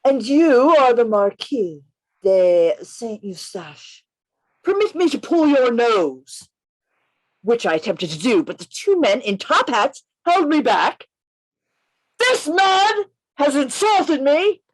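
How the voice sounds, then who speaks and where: A woman playing a bold gentleman adventurer in a radio play, narrating his tale in an emphatic, theatrical way. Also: sits high, big pitch swings, slow, exaggerated voice, rough recording. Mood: mock indignant. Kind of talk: radio play reading, first-person narration. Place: remote reading over a video call.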